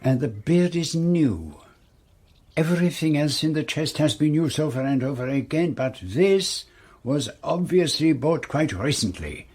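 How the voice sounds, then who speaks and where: An actor in a radio drama playing a courteous, shrewd Belgian detective with a French-speaking Belgian accent and a precise, theatrical manner. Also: male voice, fairly high pitch, animated, a little slow, a little mellow, slightly rough. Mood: thoughtful, decisive.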